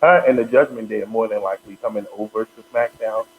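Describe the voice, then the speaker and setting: A man co-hosting a casual wrestling podcast, giving measured analysis. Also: on the low side. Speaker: podcast co-host. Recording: tinny phone-like line with faint noise.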